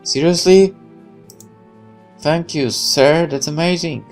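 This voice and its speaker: A man's voice: fairly high pitch, slow. A man voicing a naive, loyal young soldier in a war visual novel, earnest and eager. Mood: eager, proud. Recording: music under the voice.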